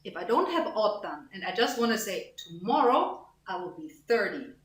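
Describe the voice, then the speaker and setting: A woman teaching a language lesson, explaining grammar slowly and deliberately, repeating example phrases and sounding them out. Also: medium pitch, sing-song. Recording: roomy echo.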